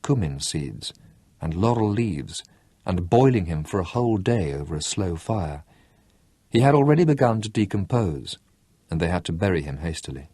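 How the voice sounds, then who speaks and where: A man reading a novel aloud in an expressive audiobook style, with lively, dramatic delivery and slowed emphasis. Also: deep voice, slightly rough, no room sound.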